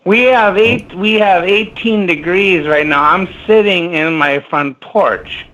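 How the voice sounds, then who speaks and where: Man calling in from his porch, native Latvian speaker, hesitant and halting, slow with lots of fillers, slightly high voice, muffled phone sound. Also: pushed and bright. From Minneapolis, United States. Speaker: caller. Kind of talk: rambling phone call to a radio show. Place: front porch outdoors. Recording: dull phone line.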